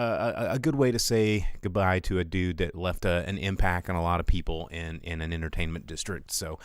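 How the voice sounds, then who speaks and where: Male American podcast host, very low register, loose rambling delivery, informal live-stream chat, dry sound. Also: smooth, dry recording. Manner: rambling, informal, self-interrupting.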